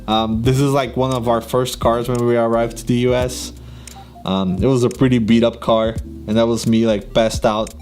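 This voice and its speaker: Young brazilian guy rambling to camera, lots of ums and laughs, soft music behind. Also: medium pitch. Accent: Brazilian Portuguese. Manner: chatty, laughs often, many fillers and restarts. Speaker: YouTuber. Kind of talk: casual talk to camera.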